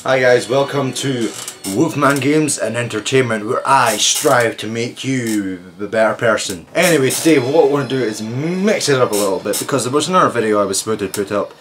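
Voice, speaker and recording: A man's voice: mid-range voice, lively intonation, fairly quick, hardly any pauses, noisy background. A man hosting his own channel, introducing a video in a rambling, joking way with many restarts. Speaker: channel host.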